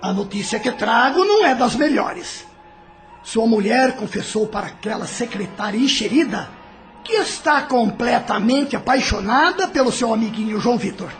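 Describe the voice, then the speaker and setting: Espírito maligno agudo e debochado. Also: male voice, extremely high pitch, animated, moderate pace, soft background music. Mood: sly mocking. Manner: mocking, manipulative, theatrical. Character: domineering, scheming male evil spirit, sly and vengeful. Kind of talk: audio drama acting.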